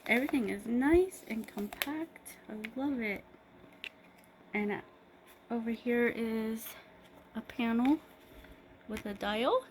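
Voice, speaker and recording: A woman giving a casual, improvised unboxing review, pausing mid-sentence in an appreciative, unhurried way. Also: a little high. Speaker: product reviewer. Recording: clearly noisy background ambience.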